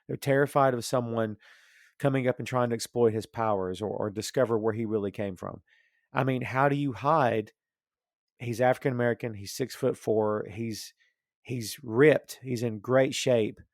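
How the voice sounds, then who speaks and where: A man's voice: medium pitch, normal speed, dry recording, clean studio sound. A man in a casual, opinionated podcast discussion, rambling and thinking aloud as he goes. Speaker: podcast host discussing comics and film.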